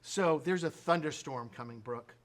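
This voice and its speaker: A man's voice: fairly high pitch, moderate pace. A man from a radio drama troupe, casually prompting sound effects and rambling with many fillers and restarts. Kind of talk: casual demonstration talk.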